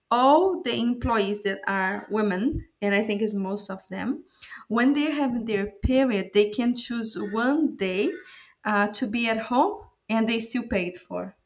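A woman hosting a podcast in English, which is not her first language; she grew up speaking Portuguese. Her voice sits at an ordinary middle pitch, and she talks in a heartfelt, reflective way, pausing and restarting as she thinks things through. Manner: reflective, earnest, many fillers and restarts. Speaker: podcast host. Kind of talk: casual conversational interview.

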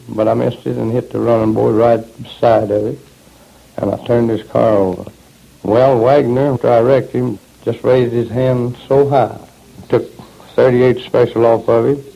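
A man with a Southern Appalachian accent and a gravelly voice, a deputy sheriff recounting events in a folksy, matter-of-fact way. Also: medium pitch. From Appalachian Tennessee, United States. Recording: dull old lo-fi recording.

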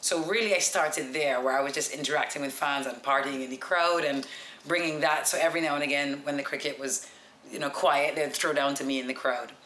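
Woman, 40s, deep voice, confident broadcaster, roomy echo. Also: fairly quick, slight background noise. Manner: lively, confident, practised broadcaster delivery. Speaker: guest, sports broadcaster. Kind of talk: relaxed storytelling interview. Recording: echoey room with music behind.

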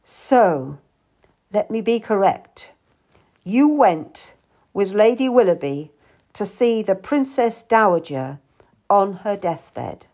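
Woman doing an angry cold guy in an audiobook, stern n accusing, swingy pitch, takes it slow. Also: animated, darkish tone. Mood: icy. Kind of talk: dramatised audiobook dialogue.

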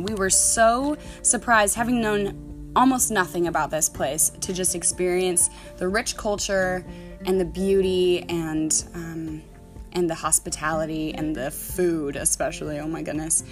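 An enthusiastic woman host wrapping up an episode with plenty of warm affirmations. Her voice sits a little low for a woman. Music plays clearly underneath her. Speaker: podcast host. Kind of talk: friendly interviewing and closing remarks.

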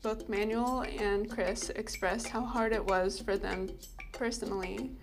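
A young woman from Texas opens a podcast with a carefully read, scripted introduction. Her voice sits in a middle pitch, and she keeps an even, measured delivery over background music. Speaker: podcast host and graduate student.

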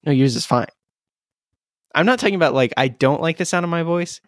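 A man's voice: a little high, animated, brisk, dry recording, clean studio sound. A teenage man hosting a casual podcast chat with friends, giggly and self-deprecating, and his voice often cracks. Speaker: podcast host.

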